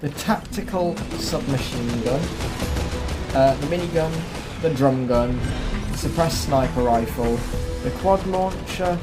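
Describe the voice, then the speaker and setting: A man giving casual gameplay commentary as the host of a gaming video. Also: medium pitch. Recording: clear game noise behind the voice. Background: game ambience and vehicle noise.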